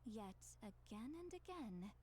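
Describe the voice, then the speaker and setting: Composed, solemn mystic seer. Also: female voice, on the high side, sing-song, measured pace, dark tone, slight background noise. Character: wise, composed mystic seer woman of a fantasy tribe. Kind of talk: anime video game voice acting.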